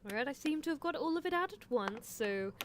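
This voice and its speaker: A woman's voice: high voice, animated, a little fast, background noise. A woman voicing a playful mad-scientist horse girl who teases and dotes on a test subject in live roleplay ASMR. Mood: smug, teasing. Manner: teasing, theatrical, doting.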